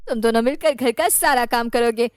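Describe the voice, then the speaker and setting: A woman's voice: on the high side, brisk, clean tone, no echo, studio quality, close to an everyday voice. A woman voicing a cruel, bossy aunt in a cartoon moral story, scolding and threatening in an imperious way. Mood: menacing.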